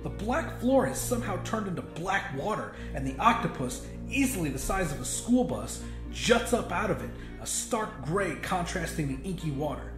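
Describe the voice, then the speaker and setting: A man narrates a horror tale in a high-pitched voice. His delivery is dramatic and intense, told in the first person. The recording has a roomy echo, with music clearly playing behind him. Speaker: audiobook narrator.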